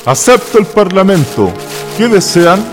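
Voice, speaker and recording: An actor playing a solemn, resolute patriot general in a historical radio drama, speaking in declamatory phrases. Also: male voice, high voice, big pitch swings, unhurried. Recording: long reverb, faint music.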